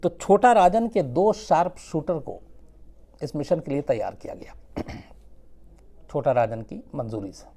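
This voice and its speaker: A man telling a true-crime story without a script, conversational and suspenseful, with dramatic pauses. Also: on the high side, lively intonation, warm tone.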